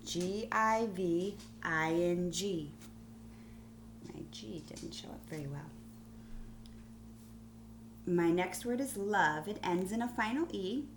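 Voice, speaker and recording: A woman schoolteacher giving a phonics lesson to young pupils on video, explaining deliberately and spelling things out. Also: fairly low pitch, noisy background. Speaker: primary school teacher.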